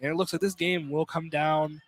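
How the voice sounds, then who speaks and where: A man calling live college basketball play-by-play on radio in an excitable, exclamatory way from inside a gym. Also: on the high side, moderate pace. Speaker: play-by-play announcer. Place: indoor college field house during a game. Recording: noisy live gym broadcast.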